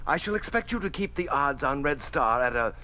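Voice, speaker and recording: An actor playing a corrupt, menacing communist commissar in a 1950s radio drama, speaking in a precise, formal, condescending way. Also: male voice, a little high, lively intonation, a little fast, pushed and bright. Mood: smug, sinister. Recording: noisy vintage 1950s radio recording.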